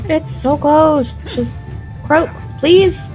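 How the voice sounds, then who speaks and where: Woman streamer, higher pitch, unhurried, blunt casual commentary, music in background. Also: a little mellow. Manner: blunt, informal, swears. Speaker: live game streamer. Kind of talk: casual livestream commentary while playing. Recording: clear game music behind voice.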